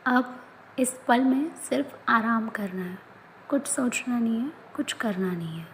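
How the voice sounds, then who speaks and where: A woman leading a guided yoga nidra relaxation, speaking in soft, often whispered instructions. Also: a little high, a little slow, a little hiss or hum, rough recording. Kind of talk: guided meditation.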